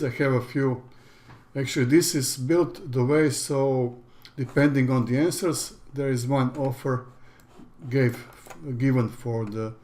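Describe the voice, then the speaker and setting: A man from Croatia with a Croatian accent, a native Croatian speaker, talking through a screen-share tutorial as an online course instructor in a halting, plain way with frequent restarts. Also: mid-range voice, darkish tone.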